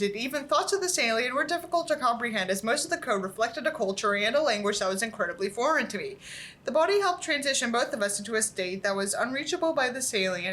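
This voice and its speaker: A woman reading a book aloud in an expressive, exasperated way, with sarcastic asides. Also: fairly high pitch, a little hiss or hum.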